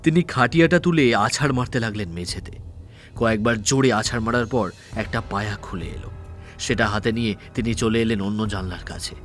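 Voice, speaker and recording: A man's voice: lowish voice, fairly quick. A man reading scripted dramatic narration for an audio story. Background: faint background music.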